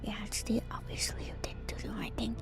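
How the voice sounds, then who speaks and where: A woman streamer whispering softly and breathily close to the mic in an ASMR style, gently repeating words as she reads and comments. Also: fairly quick, over music. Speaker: VTuber streamer.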